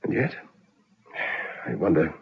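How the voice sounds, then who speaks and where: An actor playing a stern but humane prison governor in an old radio drama, formal and authoritative. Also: male voice, measured pace, lightly acted. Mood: grave, resigned. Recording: noisy, muffled old radio recording.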